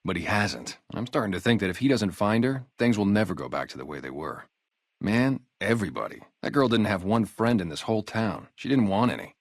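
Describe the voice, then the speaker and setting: A low-pitched, streetwise male sniper from a post-apocalyptic video game. He is a guarded, loyal former gang member turned town guard. His casual talk moves freely up and down, recorded close and dry. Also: animated, a little fast, dry recording. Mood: bitter, reflective. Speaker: security guard and former soldier. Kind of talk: video game character dialogue, naturalistic.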